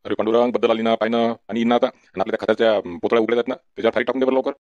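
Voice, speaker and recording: A man's voice: medium pitch, flat delivery, racing, a little mellow, dry recording, lightly acted. A man voicing a bossy, nagging farmer father exasperated by his son in a comedy cartoon, with a folksy Varhadi Marathi accent. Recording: dull, lo-fi sound.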